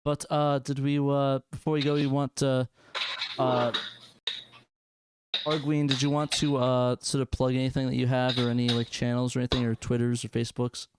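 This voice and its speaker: A man's voice: medium pitch, monotone, normal speed, background noise. A man hosting a casual group chat about games in a hesitant, jokey way. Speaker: host of a gaming video show.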